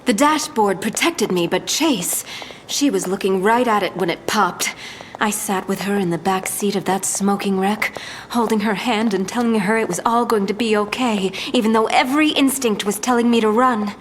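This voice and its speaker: A woman's voice: medium pitch, moderate pace, a little hiss or hum, close to an everyday voice. A woman playing a smug, theatrical crew leader of thieves in an audio drama, teasing and self-assured. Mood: wry, reminiscing. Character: suave, playful female master thief, crew leader.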